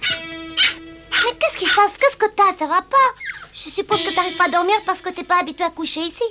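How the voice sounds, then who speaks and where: An earnest, affectionate small girl from a cartoon. The voice is extremely high and childlike, with music underneath. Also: animated, moderate pace. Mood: caring, sleepy. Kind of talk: French-dubbed cartoon acting. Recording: music behind the voice.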